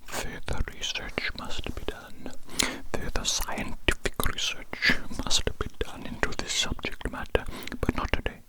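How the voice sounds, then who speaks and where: A woman whispering breathily and soothingly close to the microphone in an ASMR doctor roleplay, often softly repeating her phrases. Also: unhurried, few pauses, low-quality recording.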